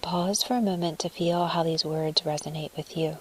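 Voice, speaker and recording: A woman with a low voice guides a meditation. Her delivery is soft, breathy and soothing, with very little melodic movement. She places each phrase deliberately and leaves room between them. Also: steady tone.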